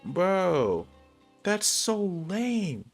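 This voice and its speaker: A man's voice: high voice, big pitch swings, drawn-out words, soft background music. A man giving casual, slangy livestream commentary on a game, stammering and repeating himself in disbelief.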